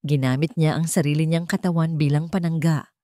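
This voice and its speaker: Low-voiced Filipino woman narrating drama. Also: fairly even intonation, brisk, mellow, no echo, clean studio sound. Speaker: audiobook narrator. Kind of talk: dramatic scripted story narration.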